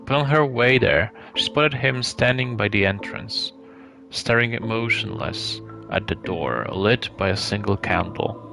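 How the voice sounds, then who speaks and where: A man reading a story aloud live as narration, in a measured way with some hesitations and stumbles. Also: lowish voice. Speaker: streamer reading a visual novel aloud. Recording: clear background music behind the voice.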